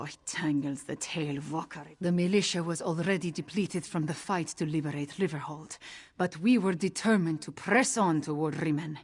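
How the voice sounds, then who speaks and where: A woman playing a grave, determined rebel militia leader in a video game, speaking earnestly and formally. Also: low-pitched. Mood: bitter, dismayed. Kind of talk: video game character acting.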